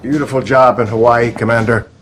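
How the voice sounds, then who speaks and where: An actor playing a measured, authoritative American president with formal delivery in a game cutscene. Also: male voice, mid-range voice, flat delivery, fairly bright tone, slight background noise, natural voice. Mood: grave, commanding. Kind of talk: dramatic game cutscene acting.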